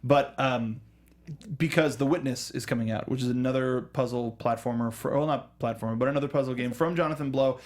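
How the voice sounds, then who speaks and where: Enthusiastic, halting man hosting a casual discussion. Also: mid-range voice, steady tone. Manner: halting, often restarts sentences mid-thought; enthusiastic fan talk. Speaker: host of a film review show. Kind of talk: casual panel discussion of films and games.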